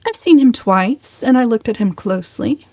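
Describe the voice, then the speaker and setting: A defiant young spinster from a storybook, acted out by a woman. Her voice is raised high and lands emphatically on her words. She is outspoken, indignant and completely sure of herself, captured close and dry. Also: animated, normal speed, darkish tone, no room sound. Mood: composed, bold.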